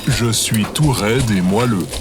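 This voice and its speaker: Man voicing a slow, soft little creature. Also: on the low side, background noise, natural voice. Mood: complaining. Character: small, soft, childlike male creature companion. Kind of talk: audio fiction comedy acting.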